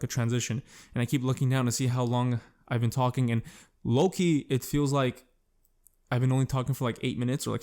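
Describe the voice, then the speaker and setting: A man's voice: medium pitch, darkish tone. Rambling young man swearing casually. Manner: rambling, self-conscious, swears often. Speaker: podcast host. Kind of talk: casual solo podcast monologue.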